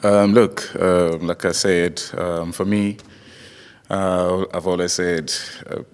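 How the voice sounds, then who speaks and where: Male interview guest, very low pitch, casual, halting delivery with repeated phrases, hall reverb. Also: little pitch movement, darkish tone, smooth. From born in DR Congo, raised in South Africa. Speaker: interview guest, MMA fighter. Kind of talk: casual chat.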